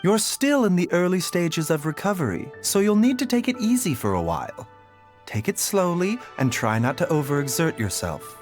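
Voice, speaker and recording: A courteous, secretive doctor in a video game. He speaks in a smooth, measured way with a lilting, expressive pitch. Faint music sits underneath.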